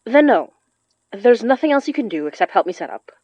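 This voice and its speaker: An actress playing a young woman who works magic, tired, wry and protective, with a brisk, matter-of-fact delivery in a naturalistic audio drama. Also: on the high side, very animated, darkish tone, no room sound, dull audio. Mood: defensive, weary.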